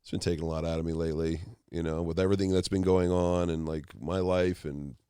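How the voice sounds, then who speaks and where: A man's voice: low-pitched, little pitch movement, quick pace, dark tone, clean tone, no room sound, studio quality. A man in his thirties chatting casually as a podcast guest, in a rambling, self-deprecating way. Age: 30s. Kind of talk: casual chat.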